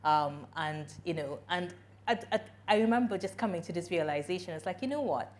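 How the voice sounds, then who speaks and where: Trinidadian woman, middle-aged, lowish voice, earnest conversational Q&A answer. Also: animated, a little fast. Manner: earnest, conversational, with frequent "you know" and restarts. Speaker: invited speaker answering audience questions. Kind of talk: conference Q&A answer.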